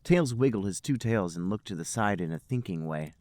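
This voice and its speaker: A man co-hosting a podcast, deadpan as he banters and reads aloud for comedy. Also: lowish voice, fairly quick, no echo. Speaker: podcast co-host and reader. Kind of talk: comic read-aloud and casual banter.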